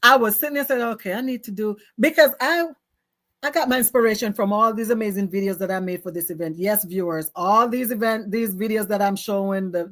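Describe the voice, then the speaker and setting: A woman hosting a talk show, chatting in an upbeat, casual way and laughing often. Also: medium pitch.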